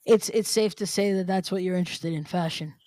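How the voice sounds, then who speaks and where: A man's voice: high voice, fairly quick, a little mellow, no echo. A man hosting a podcast, upbeat and chatty, often repeating and restating his own phrases. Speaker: podcast host. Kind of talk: casual interview chat.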